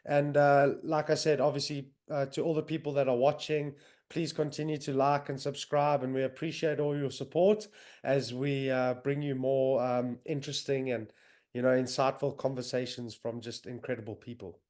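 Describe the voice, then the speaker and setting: A man's voice: medium pitch, little pitch movement, normal speed, darkish tone. A young man with a South African accent, hosting a podcast in loose, enthusiastic casual chat with lots of fillers. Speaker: podcast host. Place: home in northern England, on a video call.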